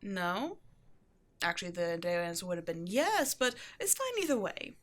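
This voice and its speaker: A woman's voice: lowish voice, sing-song, a little slow, fairly bright tone. A woman playing an upbeat, quirky shop owner in an ASMR roleplay, talking casually and breezily, offhand about eerie things. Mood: casual, businesslike. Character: upbeat, quirky young woman running a mysterious occult shop. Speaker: shop owner character speaking to a new employee. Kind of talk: ASMR roleplay, casual one-sided conversation.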